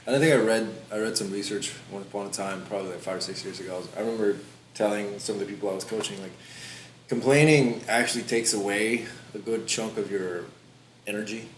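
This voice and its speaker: Earnest male podcast coach, lowish voice, rapid casual delivery, some background noise. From Philippines. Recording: roomy echo, background noise.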